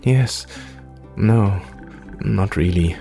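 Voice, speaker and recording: A man's voice: low voice, drawn-out words, gravelly, over music, heavily acted. A man voicing a young forest ranger in a fantasy game, dreamy, earnest and yearning for adventure.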